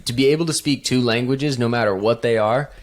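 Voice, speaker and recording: A man's voice: mid-range voice, brisk. A man co-hosting a casual podcast, talking enthusiastically and thinking aloud with frequent "like" and "right?". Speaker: podcast co-host.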